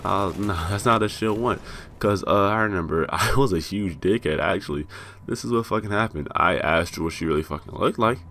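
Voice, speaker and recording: A young American man telling a rambling, profane story about himself with self-mocking laughs, his voice a bit raspy from being high. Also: a little fast, fairly bright tone. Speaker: vlogger. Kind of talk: casual storytelling.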